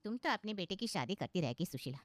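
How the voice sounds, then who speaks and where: A woman's voice: lowish voice, big pitch swings, fast talker, no echo. A woman voicing a boastful, gossipy middle-aged aunt in a cartoon story, chatty and knowing. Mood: boastful, advising.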